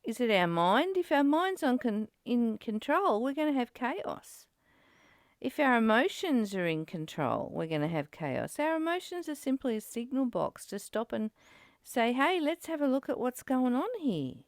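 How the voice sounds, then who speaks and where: A woman's voice: on the high side, sing-song, normal speed, no room sound, clean studio sound. A woman hosting an informal spiritual study talk, speaking earnestly and posing rhetorical questions. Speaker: host of a spiritual study session. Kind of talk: informal spiritual teaching and discussion.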